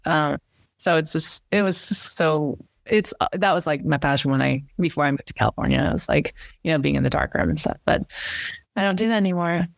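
Chatty woman with a gravelly, creaky voice. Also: deep voice, a little fast, no room sound, clean studio sound. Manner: chatty, many restarts and fillers. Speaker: cinematographer guest. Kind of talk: casual interview chat.

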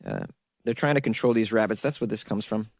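A man's voice: lowish voice, wildly swooping pitch, brisk, gravelly, no room sound, studio quality. A man reading news stories aloud and breaking off into sarcastic, rambling jokes aimed at his viewers. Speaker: solo news commentary host. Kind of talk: casual commentary reading news articles.

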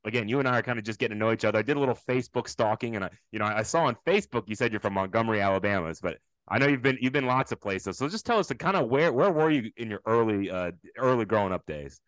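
Male podcast host, quick and chatty, dry close sound. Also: on the low side, animated, a bit bright, no echo, poor audio. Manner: upbeat and chatty. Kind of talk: casual podcast interview.

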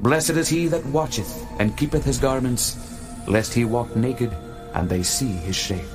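A man playing a solemn divine Lord giving warning, declaiming deliberately in a dramatized scripture audiobook. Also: medium pitch, music in the background, lightly acted.

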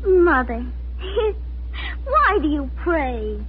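A woman's voice: sits high, sing-song, drawn-out words, soft background music, full character voice. Earnest eleven-year-old girl, piping childlike voice, vintage radio drama, scratchy thin sound. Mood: earnest doubt. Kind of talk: 1940s radio acting. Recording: scratchy, tinny 1940s radio recording.

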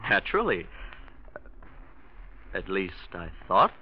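An actor playing a scheming young nephew, a glib liar who stammers when cornered, in old-time radio drama style. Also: male voice, low voice, huge pitch swings, takes it slowly, heavily acted. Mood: smoothly evasive. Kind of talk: 1940s-50s radio drama acting. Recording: dull vintage radio recording.

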